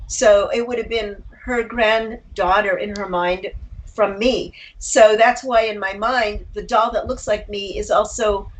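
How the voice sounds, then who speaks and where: An older woman talking reflectively as an interview guest, a writer and actor who tells personal stories haltingly, with candid asides. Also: medium pitch, fairly even intonation, poor audio.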